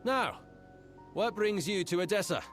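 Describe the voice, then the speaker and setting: An actor playing an officious, self-important gate registrar in a video game, speaking in a formal, fussy manner. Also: male voice, sits high, measured pace, fairly bright tone, soft background music. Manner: formal, fussy, stammers when flustered.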